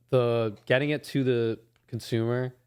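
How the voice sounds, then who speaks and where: A young man from New York, a podcast guest, telling stories in a relaxed way full of likes and ums. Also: medium pitch, slow, smooth. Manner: relaxed, filler-heavy storytelling. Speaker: guest, head grower. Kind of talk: casual chat.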